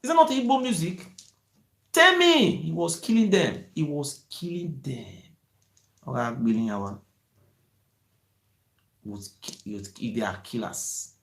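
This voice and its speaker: A man's voice: on the high side, a little slow, long pauses. Impassioned man in an echoey room. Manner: excited, rising to near-shouting, repetitive "you see". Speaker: channel host. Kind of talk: impassioned monologue.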